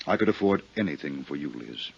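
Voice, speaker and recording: A middle-aged American murderer in a 1950s radio drama, lovesick and bitter. The voice is deep and rough, halting yet earnest. The vintage recording sounds muffled. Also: male voice, lively intonation, heavily acted. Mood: bitter confession.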